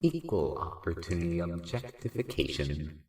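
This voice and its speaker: A low-pitched, cool and supportive sprite friend, played by a man, with very lively swings in pitch. The talk is slangy and casual, in a fan-made audio drama with a roomy echo. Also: moderate pace. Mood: wry. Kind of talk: amateur fan-fiction audio drama acting. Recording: roomy, echoing voice track.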